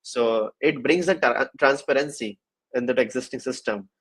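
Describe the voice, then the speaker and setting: Man, medium-pitched, livestream host, casual interview chat, halting phrasing, frequent fillers. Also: fairly bright tone, slightly rough. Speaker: host of a developer livestream session.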